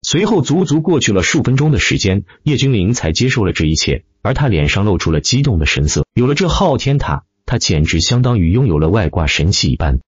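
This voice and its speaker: A man's voice: fairly low pitch, sing-song, brisk, hardly any pauses, husky, no room sound, muffled recording. A man narrating a dramatic fantasy audiobook from a script.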